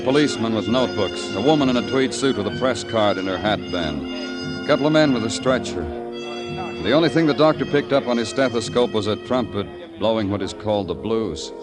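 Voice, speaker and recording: Actor as a brooding, low-voiced world-weary detective. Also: male voice, lightly acted. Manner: brooding, hard-boiled, poetic delivery. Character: world-weary male New York police detective. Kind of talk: 1950s radio noir acting, narration. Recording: old radio recording with loud music.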